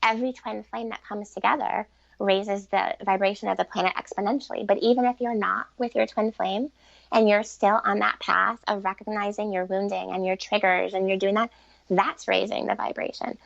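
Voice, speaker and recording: Gravelly-edged woman explaining earnestly, muffled audio. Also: mid-range voice, fairly even intonation, moderate pace, dry recording. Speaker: podcast guest, author and relationship expert. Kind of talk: conversational spiritual interview talk.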